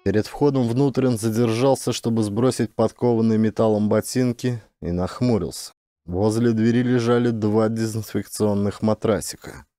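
A male actor reads dramatic audiobook narration. His voice is low, dark and mellow, recorded very dry and close. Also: fairly even intonation, normal speed, no room sound.

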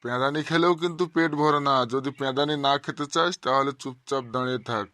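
A man's voice: medium pitch, quick pace, pushed and bright, dry recording, lightly acted. A man voicing a short-tempered middle-aged male schoolteacher in a comic cartoon, blunt and sarcastic with his pupils. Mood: exasperated, scolding. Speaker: cartoon voice actor.

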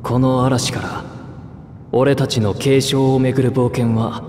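An actor voicing a calm, laconic male adventurer who narrates past events in a matter-of-fact way. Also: mid-range voice, a little slow, reverberant, long reverb tail, slight background noise, natural voice. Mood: reflective. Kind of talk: reflective game narration.

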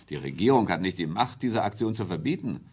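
Sardonic, low-voiced prime minister with animated delivery. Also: male voice, moderate pace, slightly rough. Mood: incredulous, sardonic. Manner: ironic, rhetorical, exclaiming. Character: embattled, sardonic prime minister defending free choice. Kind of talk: German radio drama acting.

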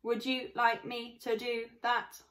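A woman voicing a slow, polite robot. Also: on the high side, monotone, fairly bright tone, pitched above the performer's own voice. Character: polite, helpful female robot.

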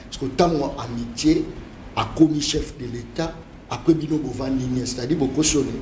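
Male debate host, congolese french accent, mid-pitched, echoey studio, faint hiss. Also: fairly even intonation, moderate pace, darkish tone. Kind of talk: moderating a debate.

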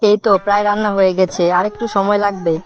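A woman's voice: mid-range voice, fast talker, lo-fi sound, natural voice. A woman voicing a greedy, scheming male fox in a cartoon who loves parties and bosses the others around. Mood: bossy. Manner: excitable, bossy. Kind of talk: cartoon voice acting. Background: background music.

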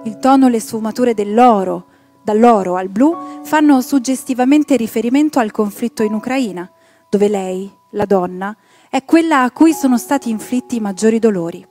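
High-voiced woman reading on stage. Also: lively intonation, moderate pace, mellow. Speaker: awards presenter. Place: theatre stage. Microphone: handheld microphone. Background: music.

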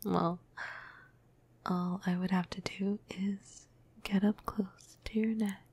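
Flirty, gentle female vampire for ASMR roleplay woman performer: breathy half-whisper, dark mellow tone, very even pitch, playful casual delivery. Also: slow. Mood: amused, teasing. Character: flirty, cuddly, teasing female vampire crush. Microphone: close microphone.

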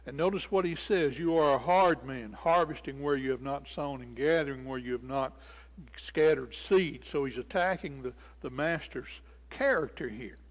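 Older preacher in an echoing hall. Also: male voice, mid-range voice, moderate pace. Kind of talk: sermon, expository Bible teaching. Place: indoors in a church sanctuary at a lectern. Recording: reverberant church hall.

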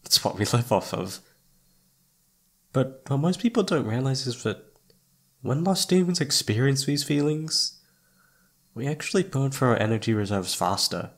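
A man's voice: mid-range voice, animated, normal speed, pauses often. A man playing a shy, flustered young incubus roommate in an ASMR roleplay, hesitant and awkward, often trailing off. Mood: awkward, explaining. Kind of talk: ASMR roleplay audio drama.

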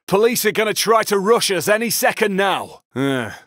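An actor voicing a foul-mouthed, hot-tempered British criminal in video game combat barks. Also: male voice, high voice, big pitch swings, moderate pace, no echo, studio quality, heavily acted. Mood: muttering, offhand. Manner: brash, sweary, shouted.

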